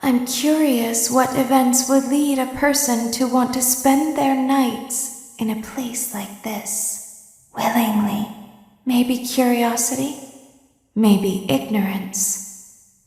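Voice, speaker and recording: A woman playing an eerie animatronic clown girl in a video game, speaking in a calm, detached, deliberate way with soft, falsely soothing menace. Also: fairly high pitch. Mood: calm warning. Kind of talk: scripted video game character monologue. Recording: echoey with long reverb tail.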